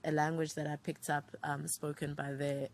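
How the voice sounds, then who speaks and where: A woman in her thirties from Zambia speaks in a low register with a gravelly, fry-edged texture. She is a guest in a relaxed interview, reflective, and strings long lists of names together as she remembers. Her delivery stays unhurried and conversational. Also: slight background noise. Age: 30s. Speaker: interview guest, writer and producer.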